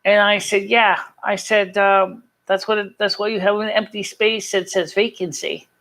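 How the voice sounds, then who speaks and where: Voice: mid-range voice, steady tone, normal speed, vocal fry, a bit bright. Rambling live stream host with earnest delivery. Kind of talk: casual chat to a live chat audience, personal storytelling.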